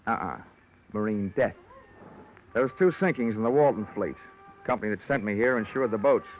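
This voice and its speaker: An actor playing a wry, hard-boiled insurance investigator, deadpan and wisecracking, in an old-time radio detective drama. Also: male voice, lowish voice, a little fast, soft background music, close to an everyday voice. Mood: cool, dry. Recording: tinny vintage radio recording.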